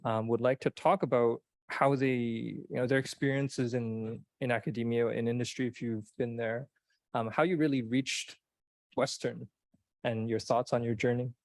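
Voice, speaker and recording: A man's voice: mid-range voice, level tone, normal speed, a little mellow, no room sound. A young man moderating a seminar panel, introducing it hesitantly and often restarting his phrases.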